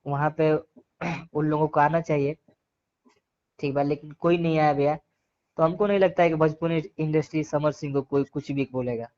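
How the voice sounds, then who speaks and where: Man, Bhojpuri accent, fairly high voice, earnest argumentative interviewee, repeats himself for emphasis, dull lo-fi call recording. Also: steady tone, moderate pace, a little mellow. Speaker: interviewee giving opinions. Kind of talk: casual phone interview. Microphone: phone call.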